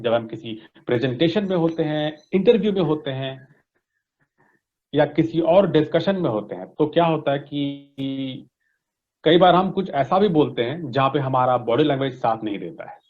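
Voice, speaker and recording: Indian male coach, interview guest. Didactic, slightly high-pitched, switches between Hindi and English. Lo-fi, dull sound. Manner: didactic, often checking with "right?" and "okay?". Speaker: voice modulation coach being interviewed. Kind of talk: explanatory interview talk mixing Hindi and English.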